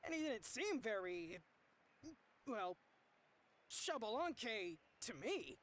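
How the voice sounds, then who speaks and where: A woman's voice: fairly high pitch, big pitch swings, slow. A woman voicing an easygoing, bewildered young tournament contestant with a casual, incredulous delivery in an anime game.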